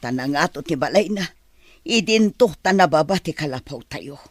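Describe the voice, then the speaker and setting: An actor with a Filipino accent playing an envious, complaining poor villager in a radio drama. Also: male voice, on the high side, animated, normal speed, no room sound. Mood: grumbling, envious. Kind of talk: Ilocano radio drama acting.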